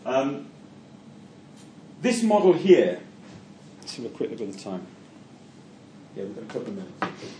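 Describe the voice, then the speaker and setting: A middle-aged man with a Geordie accent lectures to a class, his voice dark and mellow. He stumbles and repeats words as he thinks aloud. The mic sits far off in an echoey room with faint noise. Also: medium pitch, big pitch swings, a little slow, long pauses. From Newcastle, England. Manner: hesitant, repeats words, many fillers. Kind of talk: university lecture with class discussion.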